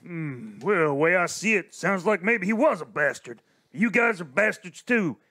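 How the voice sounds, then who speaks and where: A man's voice: sits high, animated, normal speed, bright, forceful tone, full character voice. A man voicing a brash male spaceship captain who drawls "y'all" in a live radio play. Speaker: host and performer of a charity stream.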